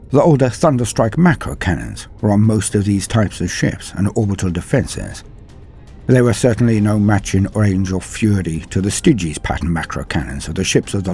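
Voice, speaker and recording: A man reading dramatic scripted war fiction as a storyteller, in a grave, measured delivery with deliberate pauses and falling phrase endings. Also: fairly low pitch, gravelly, darkish tone. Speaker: narrator of a fan-written war story. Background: music under the voice.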